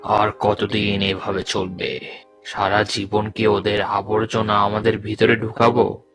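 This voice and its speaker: Guy doing brooding robot rebel boss for a cartoon, talks slow, music behind. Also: lowish voice, monotone, a bit bright. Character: solemn toilet robot, rebel leader rallying an army. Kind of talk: cartoon parody dialogue. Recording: background music under the voice.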